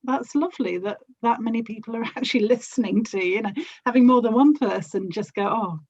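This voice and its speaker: A woman's voice: on the high side, a little fast, a little mellow, husky, no echo. A woman speaking as a podcast guest in casual, thoughtful conversation, on a dry and slightly muffled microphone. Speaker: podcast guest, audio drama actor and producer.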